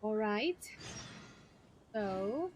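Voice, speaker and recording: A woman's voice: mid-range voice, expressive, extremely slow, dull audio, a little hiss or hum. A woman whose native language is Filipino, streaming a game live with casual, reactive commentary. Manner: reacts aloud, mixes Tagalog and English. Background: game music.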